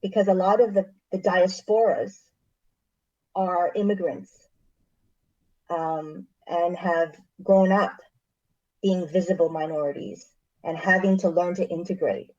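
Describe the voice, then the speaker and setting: A woman from Canada, a missionary, answering interview questions in a measured, thoughtful way with frequent pauses. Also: on the low side, fairly even intonation, a little mellow. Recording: dull lo-fi recording.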